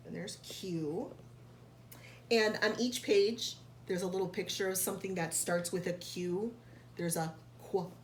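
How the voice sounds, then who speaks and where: Unhurried woman reading to children in echoey room. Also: fairly low pitch, darkish tone. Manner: didactic, enunciates and sounds out key words for children. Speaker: teacher reading a picture book aloud to young children. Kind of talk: read-aloud storytelling with explanatory asides. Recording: echoey room, faint background noise.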